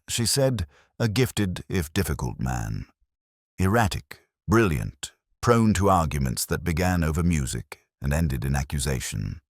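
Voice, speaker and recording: Weary detective narrator, played by a man: very deep, expressive delivery, dry close sound, measured and wry, audio drama. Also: no room sound, full character voice. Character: world-weary middle-aged male detective narrating. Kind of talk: first-person scripted audio drama narration.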